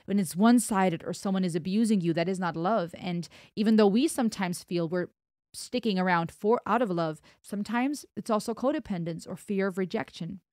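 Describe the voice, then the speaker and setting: A woman's voice: medium pitch, brisk, darkish tone, no echo, studio quality. Thoughtful woman. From Germany. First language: German. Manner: thoughtful, emphatic, explains with hand gestures. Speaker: music reaction host. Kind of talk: analytical song reaction. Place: small home studio indoors. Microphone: close studio broadcast mic on boom arm, wearing headphones.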